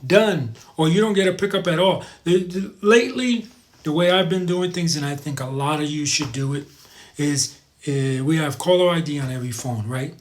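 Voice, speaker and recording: Higher-pitched man hosting a casual livestream. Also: normal speed, a little hiss or hum. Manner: rambling, repeats advice for emphasis; informal, addresses viewers as guys. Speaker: live show host. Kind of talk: casual livestream chat, reading viewer comments.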